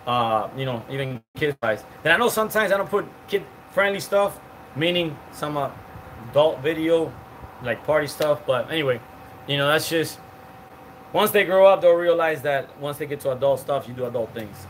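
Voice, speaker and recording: A man's voice: on the high side, fairly bright tone, a little hiss or hum. A man in his forties hosting a casual live stream outdoors, rambling through viewer comments with lots of fillers and restarts. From Cuban. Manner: chatty, rambling, many fillers and restarts, greets viewers by name. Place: outdoor patio with palm trees.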